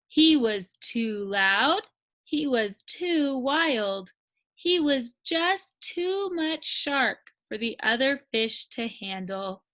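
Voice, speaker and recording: A woman narrating a children's story expressively, with deliberate, emphatic phrasing. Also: high-pitched, pushed and bright. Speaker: storybook reader.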